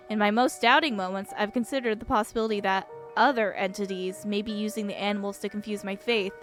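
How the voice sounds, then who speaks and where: A woman voicing an earnest, devout young father from a visual novel, speaking in a measured, confiding way. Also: medium pitch, natural voice. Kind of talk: acted reading of visual novel dialogue.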